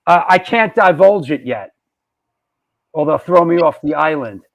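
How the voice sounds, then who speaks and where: A man's voice: a little high, animated, unhurried. A male host of a live online show, chatting loosely and joking, reading out viewer questions. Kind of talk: live show casual chat.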